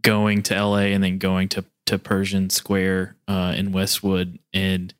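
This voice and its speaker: Low, smooth-voiced American man hosting. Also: monotone, a little slow, dry recording. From rural Kentucky, USA. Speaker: podcast host. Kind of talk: podcast interview with scripted introduction.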